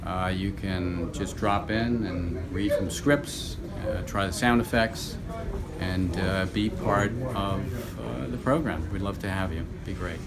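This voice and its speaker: A middle-aged man being interviewed as a drama teacher, explaining his work informally in halting phrases with many pauses. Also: sits low, over a noisy crowd. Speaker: radio theater teacher and actor being interviewed. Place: room at a senior center. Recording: very noisy room ambience.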